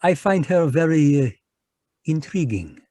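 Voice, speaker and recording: An actor playing a fussy, courtly Belgian detective, in a live radio drama over a video call. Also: male voice, fairly high pitch, lively intonation, a little slow, mellow, no room sound, studio quality. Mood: smug, courtly. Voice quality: precise, courtly French-inflected delivery. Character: fussy male Belgian detective, polite and sly.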